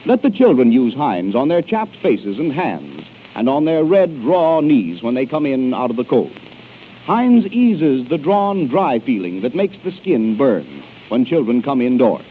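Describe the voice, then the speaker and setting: Old time radio announcer guy, animated and declamatory ad read, faint hiss on it. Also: mid-range voice, measured pace. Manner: polished, declamatory sales delivery. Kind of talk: 1930s radio advertising and introduction. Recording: narrow-band hissy 1930s radio broadcast.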